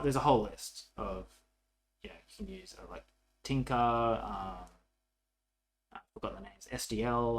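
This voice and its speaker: A man presenting a programming tutorial in a casual, unscripted way, haltingly thinking aloud as he works. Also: medium pitch, gravelly, slight background noise. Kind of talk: casual coding walkthrough, unscripted.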